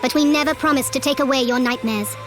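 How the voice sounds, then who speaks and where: Woman playing a high-voiced regal goddess. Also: steady tone, over music, natural voice. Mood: solemn, unyielding. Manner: stately, measured, formal. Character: solemn, regal, unyielding goddess. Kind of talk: dramatic video game acting.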